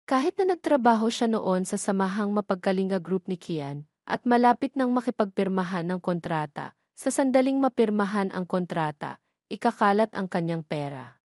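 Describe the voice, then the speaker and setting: A woman's voice: medium pitch, a little mellow, no room sound. Filipino woman narrating a scripted story, dry sound. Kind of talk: scripted audio drama narration.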